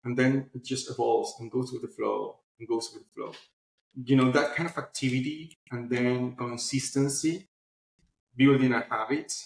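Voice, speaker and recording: Male interview guest, mid-pitched, informal explainer in a roomy, echoing space. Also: gravelly. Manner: informal, explanatory, often adds "you know". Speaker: guest, publishing platform founder. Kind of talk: conversational interview answers.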